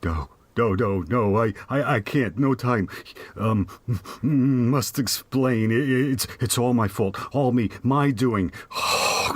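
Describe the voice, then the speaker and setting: An actor playing a guilt-ridden, panicky, hard-drinking police detective who speaks haltingly in an audio drama. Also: male voice, medium pitch, no room sound. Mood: distraught, guilty. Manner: halting, stammering.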